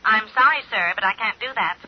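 An actress playing a polite, impersonal long-distance operator, by-the-book, heard through a telephone filter in a 1950s radio drama. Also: female voice, on the high side, expressive, fairly quick. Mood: formal, impersonal. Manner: formal, by-the-book. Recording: muffled, noisy old radio, telephone sound.

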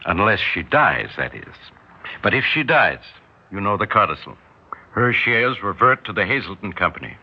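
Deep-voiced, scheming businessman. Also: very animated, moderate pace, breathy edge, exaggerated voice. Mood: sly, sardonic. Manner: sardonic, insinuating. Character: smooth, scheming, amorous businessman. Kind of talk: 1960s radio drama acting. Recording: muffled 1960s radio recording.

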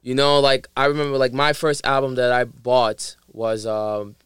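A man with a New York Harlem accent, a guest in casual chat, relaxed and reflective with many fillers. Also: medium pitch, normal speed, smooth, no room sound, clean studio sound.

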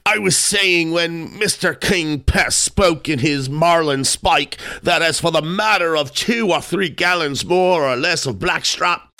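A man's voice: on the high side, sharp tone, no room sound, studio quality, voice pushed up, exaggerated voice. A man voicing a chuckling drunken sailor in a storybook, cheekily defiant as he rambles along in salty seafaring talk.

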